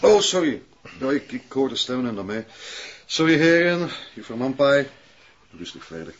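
A man's voice: medium pitch, sing-song, moderate pace, natural voice. Man playing a polite, shady bar owner. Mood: apologetic. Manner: apologetic, polite. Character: smoothly apologetic male bar owner, shady. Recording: dull copy with faint noise.

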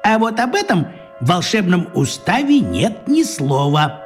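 An actor playing a small, crafty old wizard in a children's radio play, talking in a coaxing, folksy way with a husky voice. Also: male voice, high-pitched, sing-song, a little slow, faint music behind. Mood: pondering.

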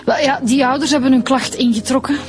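An actress with a Flemish accent playing a businesslike police investigator in a radio drama, informal and matter-of-fact. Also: female voice, sits high, steady tone, fast, lightly acted. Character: businesslike female police investigator giving briefings.

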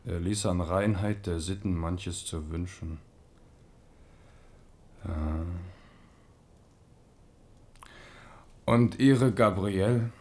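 A man's voice: deep voice, slow pace, darkish tone, a little hiss or hum. An actor playing an aging, amorous French king, wry and melancholy, in a German radio drama. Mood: curious, teasing.

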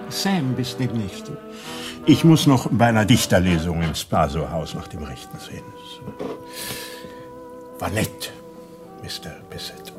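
A man's voice: fairly low pitch, wildly swooping pitch, slow, pauses often, music in the background, heavily acted. An actor playing a suave man who probes and needles politely in a German radio drama. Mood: dry, needling.

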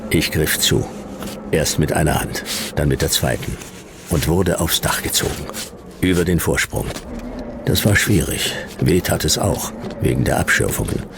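A man playing a laconic private detective in a sci-fi radio play, narrating in clipped, deadpan with a gravelly voice. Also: a bass voice, a little hiss or hum, full character voice. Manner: clipped, dry, deadpan delivery. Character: Jonas, laconic hardboiled male private detective narrating his case.

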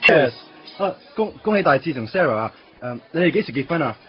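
A man's voice: a little high, expressive, fast talker, lightly acted. A man playing a stammering, lovesick young man. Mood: teasing. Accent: Hong Kong Cantonese. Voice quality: stammering, repeats his words. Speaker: supporting character in a radio play. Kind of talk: 1990s radio drama acting. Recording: dull lo-fi radio recording with music.

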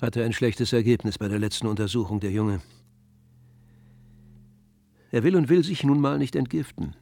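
An actor playing a brusque, jovial senior doctor in a radio play, with a bluff, patronising manner. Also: male voice, mid-range voice, quick pace, a little mellow, natural voice. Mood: grumbling, dry. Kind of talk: German radio play acting.

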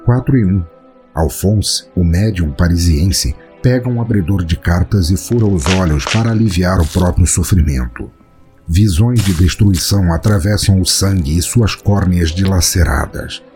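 A man with a Brazilian Portuguese accent narrates a scripted horror story in a measured, solemn, dramatic way. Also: deep voice, mellow, soft background music. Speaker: podcast narrator.

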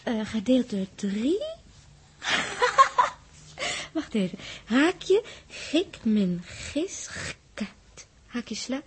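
A woman's voice: a little high, sing-song, very slow, long pauses, a little hiss or hum, exaggerated voice. A woman acting a playful, teasing young spaceship crew member in a 1960s Dutch radio play, with a coquettish manner. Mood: playful, coaxing. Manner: coquettish, sing-song teasing.

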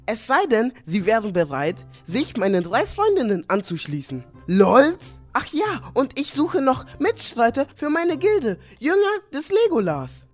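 A performer voicing a boastful teenage gamer boy in a comedy audio play, bragging in spoken chat slang. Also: mid-range voice. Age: teenager as played.

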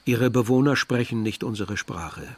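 An actor playing a young villager who tells his story in a measured, literary way, as narration in a radio drama. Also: medium pitch, husky, close to an everyday voice. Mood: sober, descriptive. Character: young Algerian villager narrating a grim search for remains. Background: music.

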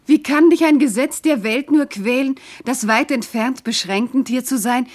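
An actress playing a tender, devoted young noble wife in classical verse, earnest, lyrical and often hushed. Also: female voice, sits high, normal speed. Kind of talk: classical verse radio drama acting.

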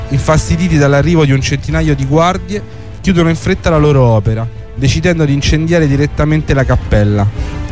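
Guy reading scripted history for radio, voice a bit high, loud music underneath. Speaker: host and narrator of a radio series.

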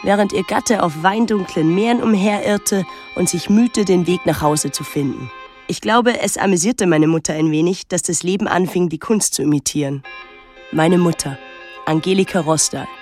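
Casting: young actress as pensive, detached teenage girl, introspective radio drama narrator, measured delivery, music underneath. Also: fairly low pitch, a little mellow, natural voice. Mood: detached, wry. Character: pensive, detached teenage daughter of a reclusive painter. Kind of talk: literary first-person radio drama narration.

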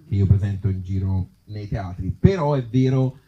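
Low, very mellow Tuscan man. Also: moderate pace, muffled recording, slight background noise. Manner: hesitant, circling phrasing with blunt joking asides. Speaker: actor and author, guest. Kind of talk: informal live talk with the audience. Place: outdoor evening event in an olive grove.

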